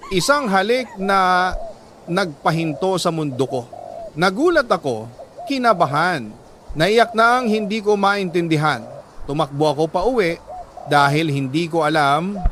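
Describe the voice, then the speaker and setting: A Filipino man reads a first-person letter as dramatic scripted narration, in short, measured phrases. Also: high-pitched, a bit bright, a little hiss or hum.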